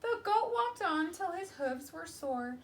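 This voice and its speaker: A woman reads a children's story aloud like a teacher, with expressive emphasis. Her voice is high and bright, and she takes her time with each line. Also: unhurried.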